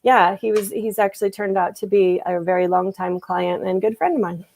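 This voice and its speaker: A woman's voice: medium pitch, steady tone, moderate pace, vocal fry, a little mellow. A woman translator and interpreter answering interview questions in a casual, hesitant way, with many fillers and restarts over a video call.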